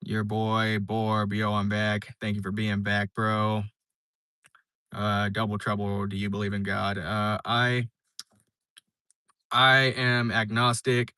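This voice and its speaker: A man's voice: fairly low pitch, little pitch movement, slow pace, pushed and bright, clear, resonant tone, no room sound, clean studio sound. A young man live streaming, chatting casually and reading viewer comments, rambling with lots of fillers and restarts. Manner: laid-back, rambling, many fillers and restarts.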